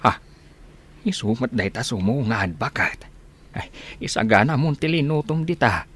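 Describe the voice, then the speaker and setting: A man's voice: mid-range voice, animated, normal speed, a bit bright, slightly rough. A man playing an old, humble rural husband who herds cattle in an Ilocano radio comedy-drama, speaking in a drawl full of hesitant interjections. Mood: puzzled. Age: older.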